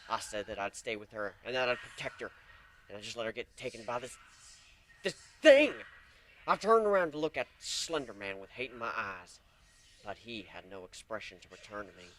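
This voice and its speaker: A man giving a dramatic reading of a story, hushed and suspenseful. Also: on the low side, very animated, moderate pace, a little hiss or hum. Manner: hushed and suspenseful, rising to shouts in tense moments. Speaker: reader. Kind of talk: dramatic reading of fan fiction.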